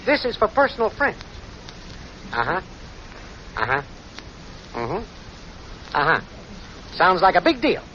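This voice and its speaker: An actor playing a brash, slangy small-time schemer boyfriend with an American accent in a 1940s radio comedy. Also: male voice, high voice, very animated, takes it slowly, long pauses, bright, forceful tone, heavily acted. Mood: cocky, scheming. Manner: slangy, brash, smooth-talking. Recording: muffled, noisy vintage 1940s radio broadcast.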